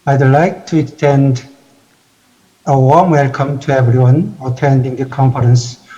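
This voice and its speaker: A man with a Korean accent and a mellow, dark-edged voice delivers formal remarks as an institute president. Korean is his native language, and he speaks in halting, measured phrases. The recording is somewhat dull and lo-fi. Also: medium pitch. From South Korea. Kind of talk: formal prepared speech.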